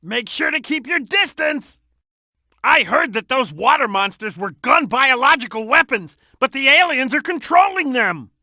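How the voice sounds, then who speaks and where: A woman voicing an excitable, cheeky little boy animal sidekick in a video game, with a childlike voice and eager, emphatic delivery. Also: high-pitched, a little slow, no room sound, studio quality, exaggerated voice. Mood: cheeky, alert. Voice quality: youthful, childlike. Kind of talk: video game character hint lines.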